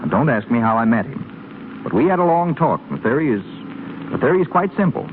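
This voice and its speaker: Brash 1930s radio leading man played by an actor, very animated. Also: mid-range voice, fast, lightly acted. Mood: earnest. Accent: American. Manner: glib, pleading, theatrical. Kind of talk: 1930s American radio drama acting. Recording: noisy, narrow-band 1930s radio broadcast.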